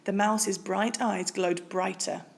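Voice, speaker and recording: A woman reading a children's storybook aloud in expressive narration with deliberate, dramatic phrasing. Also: mid-range voice, creaky, slight background noise. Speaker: storybook reader.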